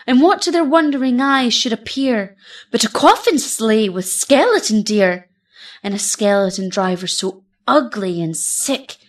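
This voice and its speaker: Woman doing dramatic poem narration, slowish, slightly high voice, rhythmic storybook delivery. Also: animated. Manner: theatrical, rhythmic, measured.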